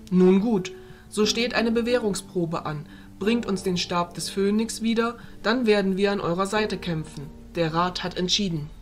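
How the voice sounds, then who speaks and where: A woman playing a regal, stern fairy who leads a council, speaking in formal, measured phrases in a fantasy audio drama. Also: mid-range voice, faint music behind, lightly acted. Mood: commanding. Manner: formal, measured, stately speech.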